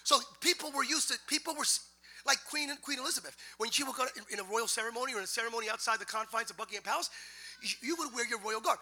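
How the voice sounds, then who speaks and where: A man preaching a sermon to a congregation, moving from quiet teaching to loud, half-sung exhortation. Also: very high-pitched, very fast. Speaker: pastor. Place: church sanctuary.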